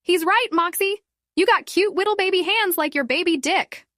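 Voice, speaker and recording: A woman voicing a crude, brash male imp boss who teases and shouts. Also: very high voice, normal speed, no echo, studio quality, voice pushed up. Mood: mocking.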